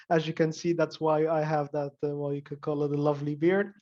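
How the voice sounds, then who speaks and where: A man's voice: on the high side, flat delivery, a little fast, warm tone. A young man introducing himself as a mortgage consultant in a friendly, self-joking way. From Netherlands. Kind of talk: casual introduction. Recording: muffled call audio.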